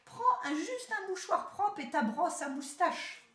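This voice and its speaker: A woman's voice: on the high side, wildly swooping pitch, moderate pace, a little mellow, pitched above the performer's own voice. A woman voicing a haughty, boastful male city rat in a storybook tale, talking down to his cousin. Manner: proud, boastful, condescending. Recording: roomy echo.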